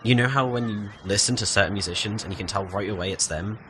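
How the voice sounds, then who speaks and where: A man's voice: fairly low pitch, quick pace, bright, forceful tone, a little hiss or hum. A man playing a laid-back, modest young former musician in an audio play, talking casually and self-deprecatingly. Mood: modest, matter-of-fact. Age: young adult. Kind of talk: naturalistic audio play dialogue.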